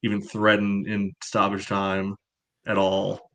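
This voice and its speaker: A man co-hosting a podcast, chatting casually about sport with a hedging, measured way of making points. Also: fairly low pitch, monotone, a bit bright. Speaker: podcast co-host.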